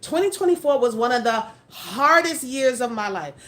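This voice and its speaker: A woman's voice: on the high side, expressive, moderate pace, a bit bright. A woman in her forties hosting a casual livestream, talking frankly and emphatically while answering viewer comments. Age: 40s. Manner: frank, mildly profane, emphatic. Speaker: livestream host.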